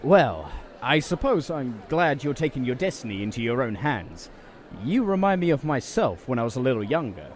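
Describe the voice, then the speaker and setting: A man's voice: medium pitch, big pitch swings, moderate pace, slight background noise, lightly acted. A man playing a kindly, supportive older settlement leader in an audio drama. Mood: kind, reflective.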